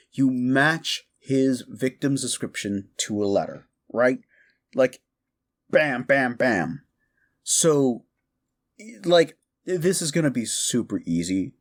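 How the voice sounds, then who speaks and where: A man playing an excitable, cheerfully amoral modern necromancer prankster in a comedic audio roleplay, rambling and stammering eagerly. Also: medium pitch. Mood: gleeful scheming. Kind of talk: comedic audio roleplay monologue addressed to listener.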